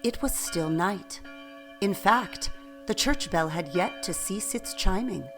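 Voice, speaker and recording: A woman narrating a radio play in an expressive, deliberate storytelling manner, with faint noise in the background. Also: medium pitch, music in the background.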